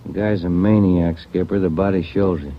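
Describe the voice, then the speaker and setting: Ben Romero, detective partner. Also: male voice, low-pitched, moderate pace. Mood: steady. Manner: plain, steady cop talk. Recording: dull radio recording with faint noise.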